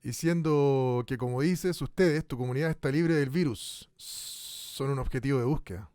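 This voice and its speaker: A man's voice: mid-range voice, moderate pace, a little mellow, smooth, close to an everyday voice. A man playing a radio host in a crisis broadcast, talking with many fillers. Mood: calm. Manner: hesitant, full of fillers. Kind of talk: radio drama acting a live talk show.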